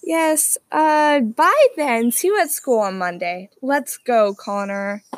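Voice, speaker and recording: Woman as a high-voiced, bold schoolgirl. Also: lively intonation, takes it slowly. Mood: flustered, polite. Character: bold, curious schoolgirl, bossy with her companion. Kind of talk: amateur audio drama acting.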